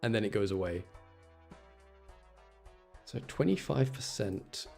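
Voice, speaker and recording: A man's voice: on the low side, animated, takes it slowly, vocal fry, mellow, faint music behind. A man giving casual, chatty live game commentary, thinking aloud and correcting himself with mild exasperation. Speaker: game streamer.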